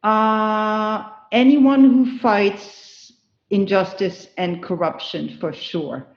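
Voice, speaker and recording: A middle-aged woman from France, answering interview questions slowly and earnestly. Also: mid-range voice, steady tone, reverberant, muffled recording, soft background music. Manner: slow, thoughtful, earnest. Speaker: guest.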